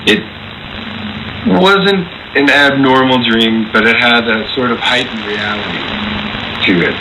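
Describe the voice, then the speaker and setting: A man telling a personal anecdote in a deliberate, halting, over-precise way. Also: medium pitch. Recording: clear background noise.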